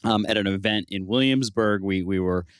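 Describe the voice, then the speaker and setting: A man's voice: lowish voice, moderate pace, clean tone, dry recording, clean studio sound. A man hosting a preservation interview podcast, chatting loosely with fillers and restarts. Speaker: podcast host. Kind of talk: casual interview chat.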